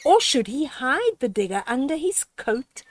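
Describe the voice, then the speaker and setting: Female storyteller for kids' read-aloud, older, higher-pitched, unhurried, expressive and gently instructive. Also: a little hiss or hum. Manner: expressive, with deliberate pauses and dramatic gasps; gently instructive, addressing a child listener. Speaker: storyteller reading children's books aloud.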